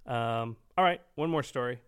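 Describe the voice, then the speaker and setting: Male podcast co-host, average pitch, casual conversational delivery. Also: normal speed, smooth. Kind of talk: casual chat.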